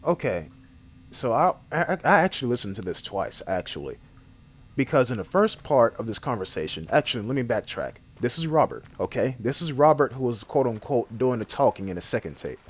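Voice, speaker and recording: Animated man giving casual game commentary. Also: mid-range voice. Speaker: gaming video creator.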